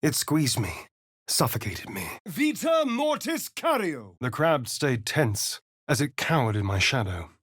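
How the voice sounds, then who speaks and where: An actor voicing a sly, vain, theatrical vampire spawn rogue in a video game, with an arch, flirtatious drawl. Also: male voice, medium pitch, big pitch swings, a little slow, fairly bright tone, slightly rough, no echo, clean studio sound, full character voice. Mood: forceful spell incantation.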